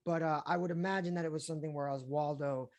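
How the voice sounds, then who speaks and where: A young adult man, an actor being interviewed, who talks in a relaxed, chatty way with lots of fillers. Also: on the high side, fast talker. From Colombia, raised in Florida and Houston. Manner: relaxed and chatty, with many fillers and easy laughs. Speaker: actor guest. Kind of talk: casual interview talk.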